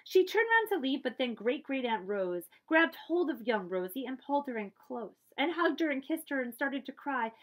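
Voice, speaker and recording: A middle-aged woman with a high-pitched voice reads a children's picture book aloud. Her delivery is expressive and deliberate, shaped as storytelling for young listeners. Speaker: librarian reading aloud. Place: small room with bookshelves, indoors.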